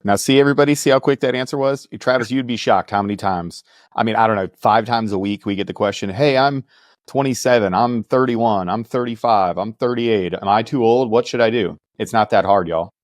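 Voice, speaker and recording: A man's voice: on the low side, fairly quick, no room sound, clean studio sound. A man hosting a podcast, talking in a wry, sarcastic way straight to his listeners. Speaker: podcast host. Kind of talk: casual podcast interview.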